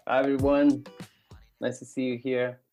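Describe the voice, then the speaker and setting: A man's voice: mid-range voice, flat delivery, moderate pace, over music. A man from Argentina introducing himself and his company informally as a startup co-founder on an online call. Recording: dull lo-fi call audio.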